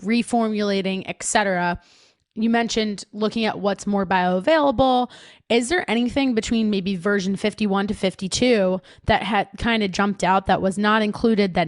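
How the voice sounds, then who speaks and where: A woman's voice: mid-range voice, no echo, clean studio sound. A woman hosting a casual podcast interview, chatty and upbeat, with many "like"s and tag questions. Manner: chatty, upbeat, lots of "like" and "right?". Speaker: podcast host.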